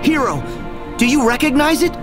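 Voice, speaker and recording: A man's voice: very high voice, lively intonation, moderate pace, music in the background. An actor, a man, voicing a plucky teenage boy hero in a video game, boyish and earnest, with a questioning tone. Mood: confused. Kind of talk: video game cutscene acting.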